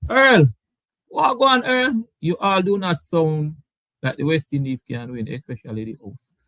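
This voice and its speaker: A man with a Caribbean English accent hosting a sports debate show, animated and repeating his words. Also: fairly high pitch, a little slow, no echo, low-quality recording. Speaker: show host. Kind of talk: lively sports debate talk.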